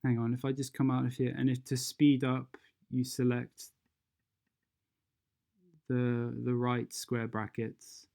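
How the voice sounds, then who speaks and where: An unhurried tutorial presenter with a soft, mellow tone gives an unscripted software walkthrough. The delivery is fairly flat in pitch and hesitant, as the steps are worked out aloud. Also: vocal fry. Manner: hesitant, thinking aloud while demonstrating.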